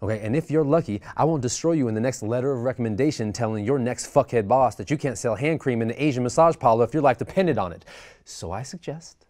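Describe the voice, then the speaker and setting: A man's voice: medium pitch, fast talker, a little mellow, lightly acted. An actor at a script table read voicing an abrasive, foul-mouthed male boss realtor who barks insults at his employee. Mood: menacing. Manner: crude, domineering, barking insults.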